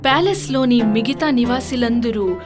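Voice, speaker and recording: A woman tells a children's fairy tale as a scripted narrator. Her voice is notably high-pitched, with music playing behind it. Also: normal speed.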